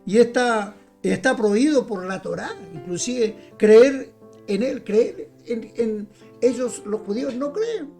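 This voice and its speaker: Mellow-voiced preacher over faint music. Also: medium pitch, expressive, slow pace. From Chile. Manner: emphatic, direct address to listeners, repeats phrases. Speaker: evangelical preacher. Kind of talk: informal Bible teaching.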